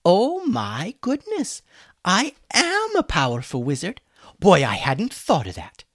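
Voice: medium pitch, wildly swooping pitch, unhurried, no echo, studio quality, voice pushed up. A storyteller voicing a weary, sarcastic chicken wizard for a children's story. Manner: sarcastic, exasperated. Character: mocking, weary chicken wizard prisoner with human hands.

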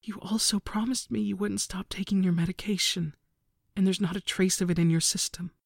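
A narrator voicing a gentle, hushed mother. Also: medium pitch, normal speed, no echo, studio quality, lightly acted. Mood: whispering. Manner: soft, gentle, sometimes whispering. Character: gentle, worried middle-aged mother.

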